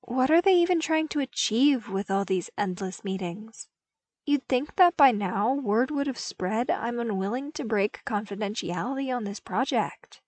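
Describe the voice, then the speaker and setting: Woman playing young male apprentice, lifted pitch, earnest, cheeky, informal, expressive, dry close sound, audiobook drama. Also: moderate pace, dry recording. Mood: puzzled.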